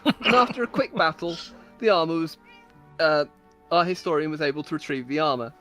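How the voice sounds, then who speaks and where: A woman's voice: low-pitched, brisk, a bit bright, soft background music. A woman giving a playful, mock-solemn dramatic reading of her own comic stories, like a storyteller. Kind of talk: comedic dramatic reading of scripted tales.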